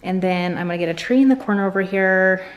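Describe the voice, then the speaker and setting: A woman's voice: mid-range voice, fast. A middle-aged American woman chatting casually to viewers, informal and thinking aloud as she goes. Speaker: family vlogger.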